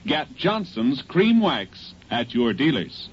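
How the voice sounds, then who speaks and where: A man's voice: mid-range voice, very animated, takes it slowly. An American man, a polished, persuasive announcer reading advertising on mid-century radio. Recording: muffled vintage radio recording.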